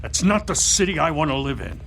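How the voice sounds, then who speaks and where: An actor playing a troubled, principled police officer in a crime game, earnest and firm. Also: male voice, on the high side, big pitch swings, a little fast, a bit bright.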